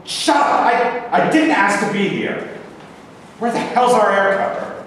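A very echoey stage-reading recording of a young man as a brash American soldier. His voice sits a little high. He talks bluntly in street slang, quick to take offense. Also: fairly bright tone. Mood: angry. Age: young adult. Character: brash, irritable young American GI, a Dodgers fan. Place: bare rehearsal room, camera at a distance. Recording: echoey hall from far off, faint noise.

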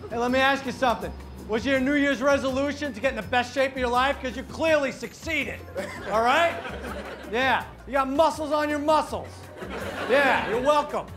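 Pushy high-voiced man shouting street compliments. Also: moderate pace. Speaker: comedy street prankster. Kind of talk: street prank, loud mock compliments. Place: busy city sidewalk outdoors. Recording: outdoor street noise with music and laughter.

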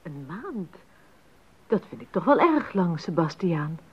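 Tender, husky-voiced wife. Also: female voice, fairly low pitch, very animated, measured pace, dull audio, exaggerated voice. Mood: wry, displeased. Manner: tender, intimate, lightly teasing. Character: affectionate, gently teasing composer's wife and mother. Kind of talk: 1980s radio drama acting.

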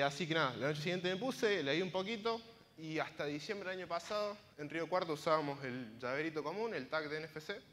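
A man's voice: fairly high pitch, a bit bright. Young Argentine man in a reverberant hall. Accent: Argentine Spanish. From Córdoba province, Argentina. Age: 20s. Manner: casual, colloquial storytelling. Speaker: conference speaker, software engineer. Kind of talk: informal conference presentation. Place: large auditorium stage, indoors. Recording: reverberant hall sound from a stage.

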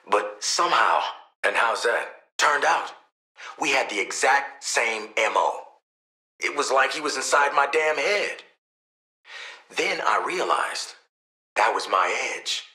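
A man's voice: medium pitch, pushed and bright, reverberant. An actor with an American accent playing a cocky, world-weary assassin antihero in a video game, wry, blunt and streetwise in his delivery. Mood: reflective, intense. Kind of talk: scripted video game voice lines.

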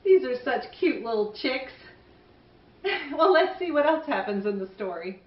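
A middle-aged woman hosting for young children, reading stories in an animated way and asking the listener questions. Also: high voice, measured pace, reverberant, recorded from a distance, highs cut off. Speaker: children's show host and storyteller. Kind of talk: children's storybook reading and chat to young viewers.

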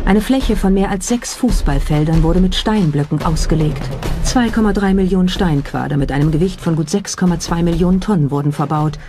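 A woman reading scripted documentary narration with a dramatic, rhetorical delivery. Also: deep voice. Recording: music bed under the voice. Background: background music.

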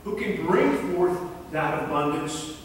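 A middle-aged man preaching a sermon from the pulpit of a church, earnest and measured, sometimes repeating his words. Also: a little high, lively intonation, slight background noise. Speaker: pastor. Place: church sanctuary, large. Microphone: far camera in the pews. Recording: echoey sanctuary from far off.

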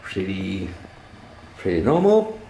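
A man giving a casual, unscripted hotel room walk-through as a travel blogger, halting and repeating words, recorded on a handheld camera. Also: low-pitched, huge pitch swings, warm tone, slight background noise, poor audio. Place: indoors, moving around a hotel room. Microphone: handheld camera, operator behind it.

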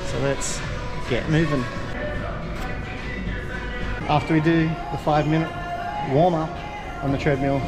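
A man, casually narrating his gym workout in a self-deprecating, upbeat way on an action camera. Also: high-pitched. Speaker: vlogger. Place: gym, indoors, and outdoors by a car. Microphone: handheld action camera. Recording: music playing behind. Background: background music.

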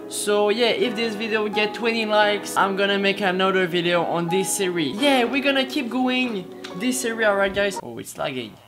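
Excitable young non-native gamer guy. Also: sits high, normal speed, hardly any pauses, over music. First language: not English. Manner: excitable, hesitant, frequent exclamations. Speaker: gaming channel host. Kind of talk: casual gameplay commentary.

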